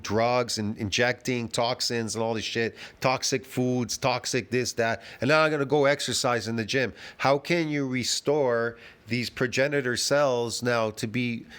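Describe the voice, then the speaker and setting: A man's voice: medium pitch, fairly bright tone. A man giving a ranting fitness commentary, emphatic and repetitive, full of restarts and rhetorical questions.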